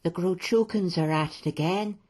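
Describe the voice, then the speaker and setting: A man voicing a stern, sensible lady in a storybook, with a measured, chiding manner. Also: high voice, a little mellow, voice pushed up. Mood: explaining.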